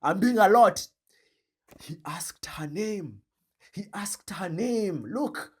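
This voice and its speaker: Kenyan guy reacting to clips, animated and joking, slightly high voice. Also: takes it slowly, breathy edge. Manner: animated, joking, mixes Swahili slang with English. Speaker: reaction video host. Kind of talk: commentary on a played clip.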